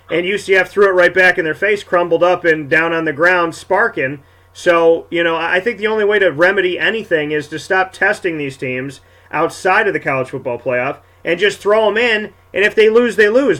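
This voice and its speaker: A man with a high, bright voice delivers sports commentary as a show host. He argues emphatically and circles back to hammer his points home. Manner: emphatic, argumentative, repeats points for effect.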